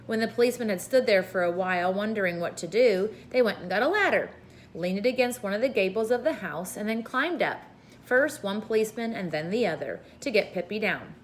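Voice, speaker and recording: A woman reads aloud from a children's book in an informal storytelling style. Her voice sits at an average pitch. A faint layer of noise runs behind the reading. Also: lively intonation, brisk. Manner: informal, with brief asides to listeners.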